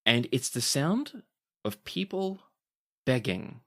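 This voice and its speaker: A man narrates as game master of a tabletop game, laying out scenes at an unhurried storyteller's pace. His voice sits at an ordinary middle pitch. He slips into pleading voices for the crowd now and then. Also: sing-song, pushed and bright. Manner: descriptive, unhurried storytelling with dramatic pleading quotes.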